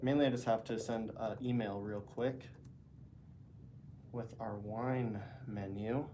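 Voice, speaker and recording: A man's voice: fairly low pitch, slow, slight background noise. A man who casts esports, chatting casually to his stream from a hotel room, with a stuffy nose. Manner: rambling, relaxed, talking to chat. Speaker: esports caster. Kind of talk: casual livestream chat.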